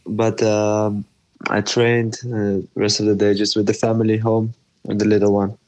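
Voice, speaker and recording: Low, flat-voiced Dutch man. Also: normal speed, no room sound. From Netherlands. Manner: hesitant, many ums and restarts, candid. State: slightly ill with a fever. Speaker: professional footballer guest. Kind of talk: casual podcast interview.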